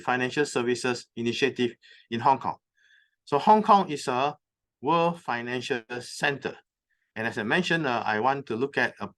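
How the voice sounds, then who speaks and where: A man giving a conference presentation, explaining terms step by step and pausing often on filler sounds. He has just moved to Hong Kong from North America. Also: medium pitch, a bit bright. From recently moved from North America. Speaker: conference speaker. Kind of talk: presentation talk. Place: conference venue.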